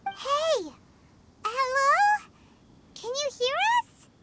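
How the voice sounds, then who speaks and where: A woman voicing a tiny, excitable fairy companion in a video game who talks about herself in the third person. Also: very high voice, extremely slow, full character voice. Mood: worried.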